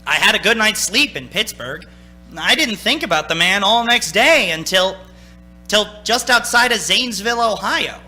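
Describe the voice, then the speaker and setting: A man's voice: high voice, animated, normal speed, pushed and bright, heavily acted. An actor playing a haunted, anxious young man in a radio play, dramatic and nervously stammering. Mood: haunted, brooding. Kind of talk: radio play acting, narrating and in scenes. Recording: stage radio play with music.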